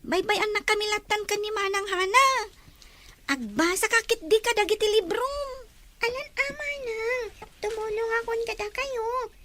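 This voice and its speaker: A woman's voice: extremely high pitch, moderate pace. A woman voicing an eager, helpful little sister in an Ilocano radio drama, with a small, childlike voice. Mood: eager, pleading. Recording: noisy track with clicks.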